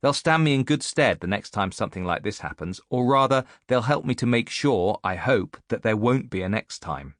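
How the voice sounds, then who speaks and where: A man's voice: mid-range voice, expressive, normal speed, few pauses, dry recording, studio quality, close to an everyday voice. A man reading an audiobook as a reflective, self-mocking woman in her late thirties, musing and confiding as in a letter. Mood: wry. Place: dry recording studio.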